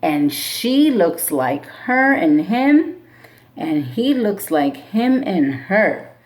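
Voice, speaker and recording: A woman teacher reads a children's book aloud to her class. Her delivery is slow and deliberate, and her pitch swings widely from line to line. She keeps the same unhurried pace when she explains the class activity. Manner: slow, expressive storybook read-aloud. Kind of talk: reading a picture book aloud to students; classroom instructions.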